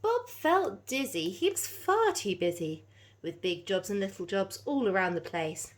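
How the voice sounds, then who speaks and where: Woman reading a story with lively expression. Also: medium pitch, a little slow. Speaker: teacher reading aloud. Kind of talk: animated children's story read-aloud.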